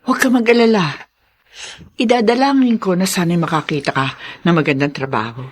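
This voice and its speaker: Woman acting gentle, prayerful older mother. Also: on the low side, a little fast, lightly acted. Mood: gentle, forgiving. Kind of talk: Filipino radio drama acting.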